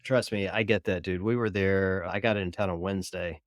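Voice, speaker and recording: A man's voice: sits low, fairly even intonation, moderate pace, no room sound, clean studio sound. A man hosting a podcast, chatting in a rambling, laid-back way with his guest. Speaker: podcast host. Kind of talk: casual chat.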